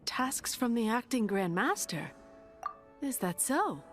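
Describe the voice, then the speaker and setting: A courteous, dutiful nun in a game cutscene, with a rather high voice and expressive melody. She is formal and politely unyielding, with light music behind her. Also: slow. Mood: polite, guarded. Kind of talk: video game cutscene acting. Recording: music under the voice.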